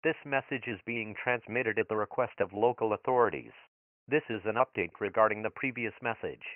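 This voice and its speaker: A man voicing an impersonal emergency broadcast announcer, reading formal scripted alerts in a flat, official manner through a radio filter. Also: lowish voice, a little fast. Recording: tinny phone-like emergency broadcast.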